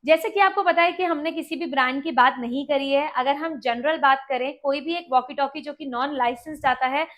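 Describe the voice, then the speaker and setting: A woman's voice: high-pitched, a little fast, few pauses, a bit bright. A woman presents a tutorial. She explains things in an instructive, step-by-step way. English technical terms are mixed into her sentences. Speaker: video presenter.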